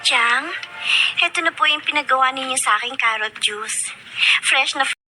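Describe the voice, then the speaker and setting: A woman's voice: sits high, moderate pace, sharp tone, soft background music. A young woman playing a hopeful, respectful niece in a radio drama, polite and eager. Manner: polite, eager, hesitant when asking. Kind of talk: Filipino radio drama acting. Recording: noisy radio drama recording.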